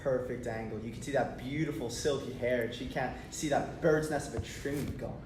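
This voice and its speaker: A man's voice: mid-range voice, brisk, natural voice. Cocky teenage schoolboy, read by a man, in an echoey room. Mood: mocking banter. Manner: bantering, sarcastic, goading. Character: cocky teasing teenage schoolboy, pushy best friend. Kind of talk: stage play table read. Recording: roomy distant mic, faint noise.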